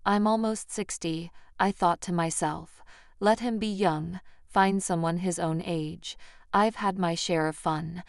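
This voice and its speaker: Female story narrator, slightly low pitch, crisp resonant tone, close dry recording. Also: darkish tone, dry recording. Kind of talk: scripted first-person story narration.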